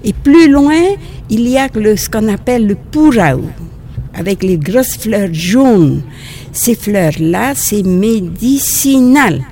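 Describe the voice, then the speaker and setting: A nun from French Polynesia with a Polynesian accent, speaking French as a second language and recalling the past in a halting, gentle way, often restarting her phrases. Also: mid-range voice, lively intonation, a little hiss or hum. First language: Tahitian. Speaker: nun and teacher. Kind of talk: outdoor interview recollection.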